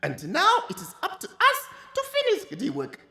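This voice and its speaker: A woman's voice: extremely high pitch, huge pitch swings, moderate pace, pushed and bright, heavily acted. A woman playing an imperious, grandiose lead scientist in a live radio drama, lofty and dismissive as if always giving a speech. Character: imperious, grandiose scientist leading a research lab.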